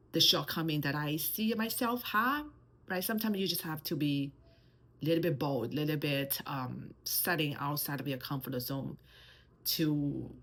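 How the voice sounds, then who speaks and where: Woman in her 30s, Mandarin-accented English, low husky voice, hesitant personal vlog delivery. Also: fairly bright tone. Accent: Mandarin Chinese. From China. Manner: hesitant, many fillers and restarts. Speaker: channel host. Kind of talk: personal vlog talk to camera.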